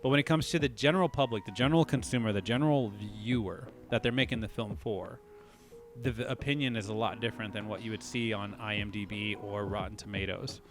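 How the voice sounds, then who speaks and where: A man co-hosting a casual podcast chat, thinking out loud and hedging his theories. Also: on the low side, brisk, faint music behind. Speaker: podcast co-host.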